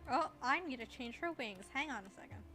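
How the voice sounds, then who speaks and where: Female game streamer, fairly high voice, unhurried, casual reactions, clear game music behind her. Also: animated. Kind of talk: casual live game commentary.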